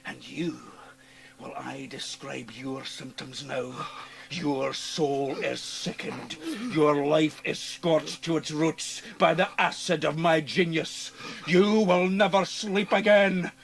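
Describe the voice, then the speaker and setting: A man playing a sinister, mocking Scottish villain in a radio drama, with a gravelly voice and theatrical, menacing delivery. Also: a little high, fairly even intonation, slow. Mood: gloating, cold. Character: sinister, mocking small man, transforming into triumphant arrogant doctor.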